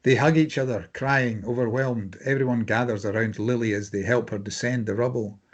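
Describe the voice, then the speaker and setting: A man's voice: mid-range voice, steady tone, moderate pace. A man reading stage directions as scripted narration for a drama table read.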